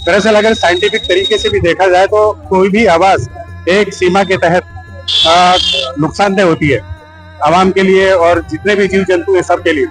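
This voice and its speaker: A man's voice: high-pitched, steady tone, moderate pace, music in the background, low-quality recording. A man giving a formal, explanatory interview statement, hesitating as he searches for words. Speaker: doctor interviewed for news. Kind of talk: measured spontaneous interview statement.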